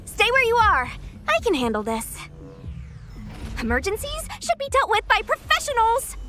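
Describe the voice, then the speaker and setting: An actress playing an eager, brisk young woman knight in a video game, earnest and chatty. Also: extremely high pitch, huge pitch swings, sharp tone, faint music behind, heavily acted. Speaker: soldier. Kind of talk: video game cutscene acting.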